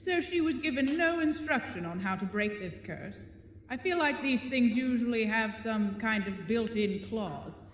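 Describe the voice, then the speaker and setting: An actor in an audio drama voicing a bored, lonely male spider monster with polite, wry, measured phrasing. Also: medium pitch, lively intonation, lightly acted. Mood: curious, critical. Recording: echoey cavern-like reverb, faint noise.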